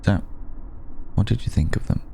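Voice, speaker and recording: A man playing a courteous, protective aristocratic vampire in an intimate ASMR roleplay, speaking formally and hesitantly with long pauses in a gravelly, dark voice. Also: slight background noise, natural voice. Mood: wry, explanatory. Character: ancient aristocratic male vampire, courteous, protective, quietly amused.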